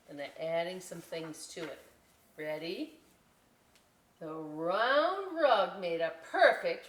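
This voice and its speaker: A woman hosting a library story time, reading a picture book aloud with chatty, folksy asides to children. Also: fairly low pitch. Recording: echoey room, distant microphone.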